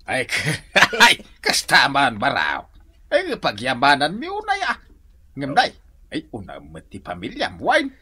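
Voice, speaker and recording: A man plays an exasperated old father-in-law in an Ilocano radio drama. His voice is bright and forceful, leaping wildly in pitch as he grumbles with an Ilocano accent. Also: huge pitch swings, unhurried, husky, full character voice. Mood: grateful.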